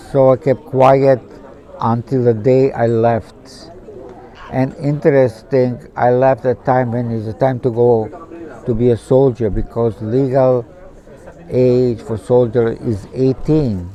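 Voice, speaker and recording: Older man telling a quiet, mellow testimony. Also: medium pitch, rough recording. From born in Macedonia, raised in Zagreb. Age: 70s. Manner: halting, simple non-native English phrasing. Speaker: interviewee. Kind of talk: personal testimony in conversation. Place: outdoors at a pilgrimage site.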